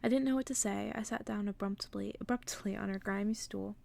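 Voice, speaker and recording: Hushed woman reading aloud, creaky voice. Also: mid-range voice, steady tone, fairly quick. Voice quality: soft, partly whispered. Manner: hushed, drifting into casual asides. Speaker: host reading a book aloud on an ASMR channel. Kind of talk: ASMR bedtime story reading.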